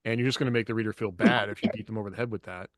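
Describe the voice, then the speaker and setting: Male co-host, slightly low register, dry recording, opinionated and conversational, rambling but emphatic. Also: fairly even intonation, rapid-fire, fairly bright tone, no room sound. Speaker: podcast co-host. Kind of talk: casual opinionated discussion.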